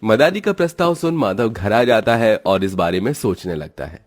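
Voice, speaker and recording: A man narrating a cartoon moral story in a steady, dramatic storytelling style. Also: lowish voice, moderate pace.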